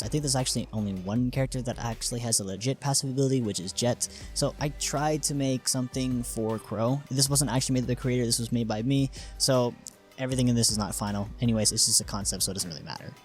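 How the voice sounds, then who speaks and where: A man gives scripted explainer narration as the host of a gaming video. His voice sits at an average male pitch and he explains step by step, dropping in informal asides. Music plays behind him. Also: fast talker. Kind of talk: scripted explainer narration about a game character concept.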